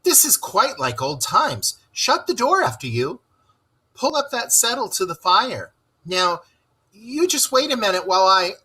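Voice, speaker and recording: An excitable, kind-hearted mole from a storybook played by a man. The pitch is lifted well up and the tone is bright, with some huskiness. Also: a little slow, breathy edge, heavily acted, poor audio. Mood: eager. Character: eager, excitable, warm-hearted male mole.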